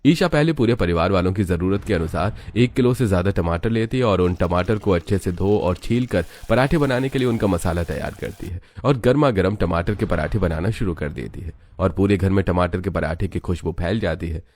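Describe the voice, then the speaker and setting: A man's voice: low-pitched, brisk, few pauses, darkish tone. A man giving scripted narration of a family story.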